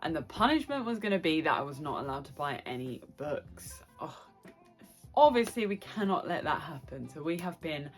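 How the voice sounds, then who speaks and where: A woman's voice: deep voice, big pitch swings, normal speed, soft background music. A woman hosting a chatty book vlog, speaking playfully and effusively with dramatic asides. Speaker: book review vlogger and host. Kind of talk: chatty solo vlog to camera.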